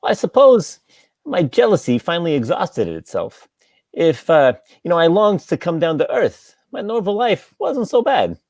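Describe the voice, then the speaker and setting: Man playing a wistful lodger, animated and close. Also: on the high side, moderate pace, no echo. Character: wistful, polite, lovelorn male lodger. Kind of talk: live cold table read of a stage comedy.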